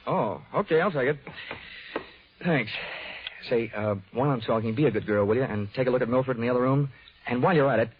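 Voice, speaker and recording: An actor playing a wry, hardboiled, flirtatious insurance investigator in 1940s radio noir style. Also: male voice, mid-range voice, fast talker, slight background noise, natural voice. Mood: businesslike. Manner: wry, wisecracking. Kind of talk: 1940s radio acting, first-person noir narration. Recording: dull 1940s radio broadcast with music.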